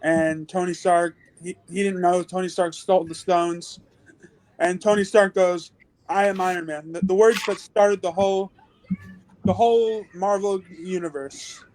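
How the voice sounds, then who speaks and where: A man chatting casually as a guest, halting and stumbling over his words. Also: high voice, fairly even intonation, a little mellow, dry recording, poor audio. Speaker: guest on review show. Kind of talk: casual chat.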